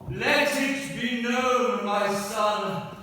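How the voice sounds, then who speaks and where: An actor playing the grandly mournful, comically muddled ghost of a murdered father in a stage radio play, declaiming in drawn-out lines. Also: high voice, sharp tone, full character voice. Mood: solemn mournful. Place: theatre hall, live performance. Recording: very echoey hall, distant mic, hiss.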